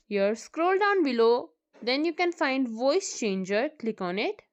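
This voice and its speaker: A woman narrating a scripted step-by-step software tutorial in short, plain instructional directions. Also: high-pitched.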